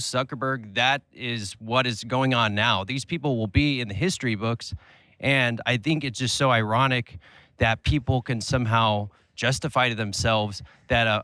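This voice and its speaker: A man's voice: medium pitch, bright, forceful tone, clean tone, no echo. A man making a long, earnest, rambling point in a group debate.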